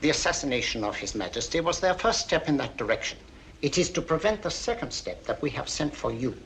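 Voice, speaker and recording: A man's voice: fairly high pitch, sing-song, fairly bright tone. Grave, courteous male statesman. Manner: formal, measured, earnest. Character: grave, courteous older European prime minister, earnest. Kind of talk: 1940s film acting. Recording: faintly noisy old 1940s film soundtrack.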